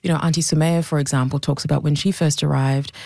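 A woman's voice: low voice, fairly even intonation, fast, vocal fry, darkish tone, no room sound, studio quality. A woman from Zambia, a documentary maker, speaking thoughtfully as a guest in a conversational interview, often restarting her sentences. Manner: thoughtful, with restarts and repeated words.

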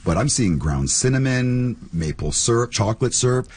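A man's voice: medium pitch, animated, normal speed, a little mellow, breathy edge. A man co-hosting a studio chat show, joking and casual. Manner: jokey, casual. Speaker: co-host.

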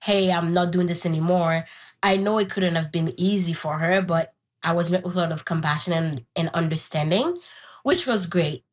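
A woman's voice: on the low side, normal speed. A young woman from Haiti, a native Haitian Creole speaker, reflecting candidly and thoughtfully on her personal life as an interview guest. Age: young adult. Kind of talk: reflective personal storytelling in conversation.

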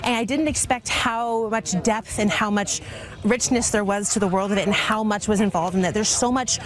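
A woman in an interview, talking in a bubbly, eager, fast-flowing way. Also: mid-range voice, steady tone, crowd noise. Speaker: interviewee, role-player. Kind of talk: enthusiastic interview talk. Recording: interview with music underneath.

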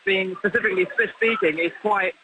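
British bar manager, quick and excited, phoned in from a packed noisy bar. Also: male voice, high-pitched. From Britain. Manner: excited, rambling with restarts. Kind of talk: live phone interview. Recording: phone line with noisy crowd behind. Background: crowded bar noise.

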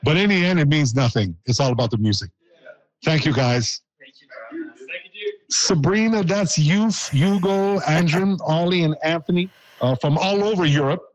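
A man's voice: fairly high pitch, lively intonation, moderate pace, poor audio. A man hosting a broadcast interview, joking and teasing his guests and laughing often. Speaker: host.

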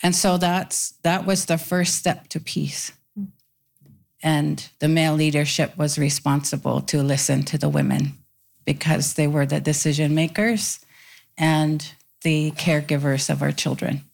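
A woman with a very low voice that has a strong gravelly fry. She talks as a panel guest, slowly and reflectively, with pauses. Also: level tone, a little mellow. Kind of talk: panel discussion.

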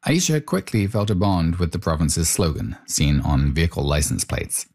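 Deep-voiced male host narrating. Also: sing-song, normal speed, a little mellow. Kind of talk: interview and scripted narration.

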